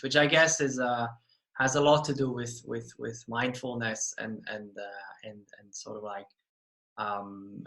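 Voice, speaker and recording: A man's voice: mid-range voice, bright, forceful tone, rough recording. A man hosting an interview podcast, talking enthusiastically and often repeating his words. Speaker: podcast host.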